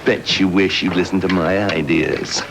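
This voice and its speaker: A man's voice: sits low, steady tone, normal speed, gravelly, background noise. A man playing a smug, resentful film villain who taunts and gloats. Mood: gloating.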